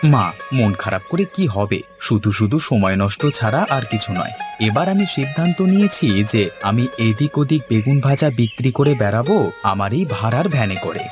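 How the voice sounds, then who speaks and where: A man voicing an earnest, energetic young village son and street hawker in a cartoon. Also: medium pitch, moderate pace, lightly acted. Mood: earnest, determined. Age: young adult. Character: eager, devoted young village son selling fried eggplant. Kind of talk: Bengali cartoon voice acting. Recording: music under the voice.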